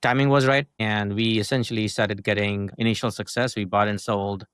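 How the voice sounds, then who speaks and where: A man's voice: on the low side, fairly even intonation, normal speed, a bit bright, clean tone, dry recording, studio quality. A middle-aged man from India with an Indian accent, a guest talking through his story in long, loose sentences full of "right?" and "essentially". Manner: talks in long chains with many "uh", "right?" and "essentially". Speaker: guest, marketing agency founder. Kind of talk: casual interview conversation.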